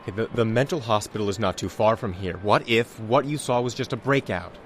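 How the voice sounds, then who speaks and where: A man's voice: on the low side, fairly quick, a little hiss or hum, close to an everyday voice. A man acting in an audio drama as a defensive, confused, bossy military officer. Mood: defensive, insistent.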